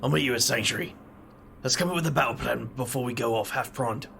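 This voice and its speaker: A man's voice: medium pitch, fast talker, gravelly, fairly bright tone, slight background noise. A man voicing a brooding, world-weary wizard investigator with a wry, dry way of talking. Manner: wry, brooding, muttering asides.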